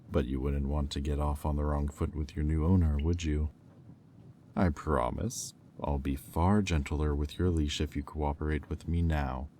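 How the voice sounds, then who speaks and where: Man playing a very deep, smug demon. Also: warm tone, slight background noise. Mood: coaxing, menacing. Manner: slow, teasing, silky taunting with deliberate pauses. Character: smug, predatory male demon toying with a captive angel. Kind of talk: roleplay audio drama acting.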